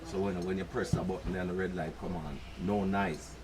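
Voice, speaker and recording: A man's voice: low voice, steady tone, a little fast, crowd noise. An older man with a Jamaican accent, telling rambling stories in patois at a press conference. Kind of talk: relaxed storytelling.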